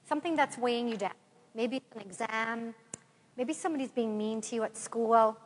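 An Irish woman in her forties addresses a congregation in a large church, her slightly high voice carrying with a long reverb. She is recorded from a camera out in the pews. She speaks in a lively, friendly way, then slows down to read a prayer. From Ireland. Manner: lively, warm address to a mixed-age congregation, then slow measured prayer reading. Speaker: retreat speaker. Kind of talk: parish talk and led prayer. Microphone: far camera in the pews.

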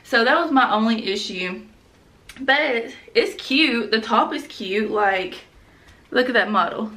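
A woman's voice: a little high, animated, slow, sharp tone, distant mic. Chatty young woman, a bit off mic. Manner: chatty, frank, peppered with "like" and slang. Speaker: fashion vlogger. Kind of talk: casual try-on haul chat. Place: small room indoors at home.

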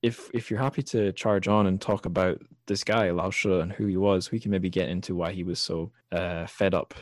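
A man with a low-pitched voice hosts a literary podcast. The sound is dry, with little room around it. He talks casually and a little haltingly, working out his thoughts as he goes. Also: steady tone, dry recording. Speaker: podcast host.

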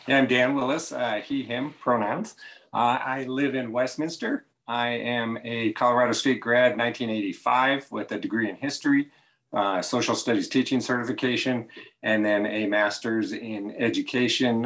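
A man in his fifties, recently retired, introducing himself on a video call in a relaxed way, with many uhs. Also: medium pitch, moderate pace. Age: 50s. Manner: relaxed, filler-heavy listing of career. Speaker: panelist, retiree. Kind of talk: casual self-introduction.

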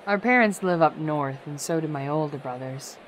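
A woman's voice: deep voice, moderate pace, slight background noise, close to an everyday voice, poor audio. A woman voicing a dry, guarded, protective older-sister pony in a fan audio drama. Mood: matter-of-fact.

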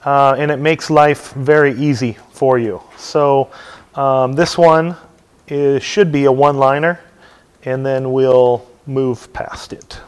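A middle-aged man teaching a hands-on software install at a lectern in a dim meeting room, talking casually with many ums and uhs. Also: medium pitch, fairly even intonation, slow pace, smooth. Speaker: technical trainer. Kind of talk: hands-on tech tutorial talk.

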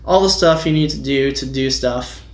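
A man's voice: mid-range voice, moderate pace, slight background noise. A young adult man co-hosting a casual podcast, bantering with dry jokes. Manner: joking, deadpan bits. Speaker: podcast co-host. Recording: echoey room.

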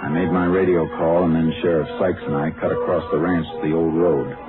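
A man playing a steady, commanding Texas Ranger investigator who also narrates, in 1950s radio crime western style. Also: deep voice, fairly quick, natural voice. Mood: measured narrating. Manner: terse, businesslike, authoritative. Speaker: lead actor and narrator. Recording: muffled vintage radio recording, loud music.

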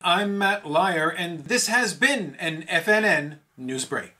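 High-pitched man reading mock news. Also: lively intonation, slow, sharp tone. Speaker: satirical news anchor. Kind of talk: mock news bulletin, scripted.

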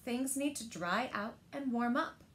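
Slow-paced, higher-voiced woman reading a storybook. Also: lively intonation, fairly bright tone, a little hiss or hum. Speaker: library storyteller. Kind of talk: reading a picture book aloud to children. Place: indoors, small living room by windows.

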